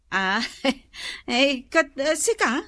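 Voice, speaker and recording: A woman with an Ilocano accent playing a nervous, evasive wife and mother deep in debt, flustered and stammering in a comedy radio drama. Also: very animated, drawn-out words, sharp tone, no echo, studio quality, full character voice. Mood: uneasy, questioning.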